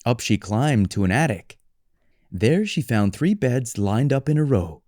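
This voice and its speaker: A man reading a children's story as a storyteller, with deliberate, expressive delivery. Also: medium pitch, no echo, studio quality. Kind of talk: scripted children's story narration for English learners.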